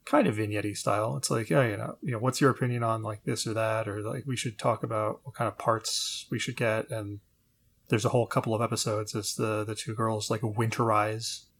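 A man's voice: fairly low pitch, fairly even intonation, a little fast, vocal fry, fairly bright tone. A man co-hosting a casual review podcast, speaking in an understated, tentative way. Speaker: podcast co-host. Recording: faint background noise.

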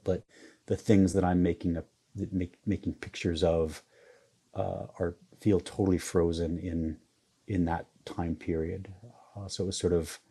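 A man's voice: low voice, creaky, mellow, slightly rough. A man in his forties from the US Midwest, talking reflectively in an interview with many fillers and restarts. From Iowa, US Midwest. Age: 40s. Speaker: photographer guest.

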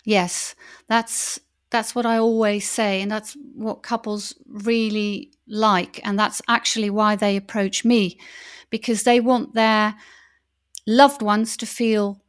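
A woman's voice: mid-range voice, flat delivery, slow, clear, resonant tone. A Dutch woman in her thirties, a native Dutch speaker talking in English as a wedding officiant in a podcast interview, enthusiastic, with many fillers and restarts. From Netherlands.

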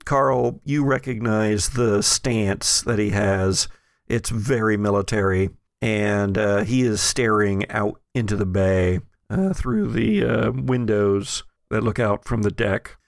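A man hosting a tabletop game as game master, improvising descriptive narration in a halting way. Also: lowish voice, creaky, no echo, studio quality. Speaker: game master and podcast host.

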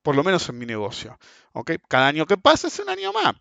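A blunt, crude man in his forties with a Rioplatense Spanish accent, delivering a casual rant that swings up and down in pitch. He keeps asking "ok?" to drive his points home. The sound is dry and dull, like a lo-fi recording. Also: wildly swooping pitch, fast, creaky, a bit bright, dry recording. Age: 40s. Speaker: market commentator. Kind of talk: casual ranting monologue.

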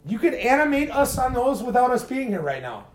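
A man with a booming voice in a casual chat as a guest, sardonic and argumentative, picked up by a phone in a garage. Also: big pitch swings, brisk, slight background noise. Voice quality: booming, projecting voice. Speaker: podcast guest. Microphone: handheld phone, often held away.

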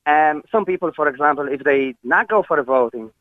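A man's voice: on the high side, lively intonation, brisk, no room sound. A man from Poland with a Polish accent, calling in to a radio show and speaking hesitant, broken English full of fillers. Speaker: caller. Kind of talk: phone-in call to a radio show. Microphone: phone line. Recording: tinny phone line.

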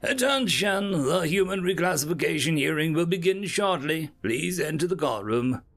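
A man's voice: on the high side, lively intonation, normal speed, gravelly, a bit bright, soft background music, pitched above the performer's own voice, exaggerated voice. A man voicing a formal alien courtroom announcer in a science fiction story. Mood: official.

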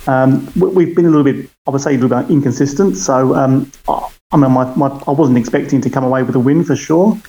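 A man's voice: medium pitch, fast talker, few pauses, warm tone, highs cut off. A British man in his thirties from the UK, chatting hesitantly over a call with many ums and restarts. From United Kingdom. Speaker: guest, club supporter. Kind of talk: casual chat over a call. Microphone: call audio.

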